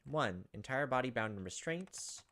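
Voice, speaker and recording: A man's voice: medium pitch, expressive, moderate pace, fairly bright tone. A young man reading game text aloud and commenting casually as a let's play host. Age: young adult. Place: indoors at a computer, webcam.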